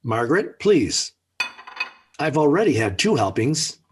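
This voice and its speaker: A man's voice: medium pitch, big pitch swings, unhurried, a little hiss or hum. An American actor playing a genial, talkative middle-aged suburban father in a 1940s radio sitcom, hemming a bit and then turning firm. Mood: chatty, genial. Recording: slightly rough 1940s radio recording.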